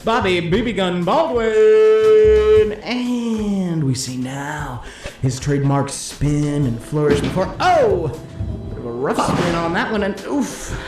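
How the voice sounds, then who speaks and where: Showy, echoing 1950s bowling announcer. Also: male voice, high voice, animated. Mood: excited play-by-play. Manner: showy hepcat patter with drawn-out flourishes. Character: slick, cheeky 1950s bowling-alley disc jockey announcer. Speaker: play-by-play announcer. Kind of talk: 1950s radio-style sports commentary. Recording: roomy echo, music underneath.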